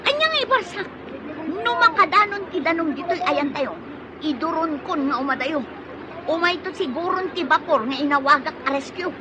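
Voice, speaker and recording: Woman acting frantic, very high-pitched castaway. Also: lively intonation, moderate pace, a crowd in the background. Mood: urgent. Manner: urgent, exclaiming and scolding. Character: frantic, blaming woman aboard a sinking ferry. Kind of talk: Ilocano radio drama acting. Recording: noisy recording with loud outdoor ambience.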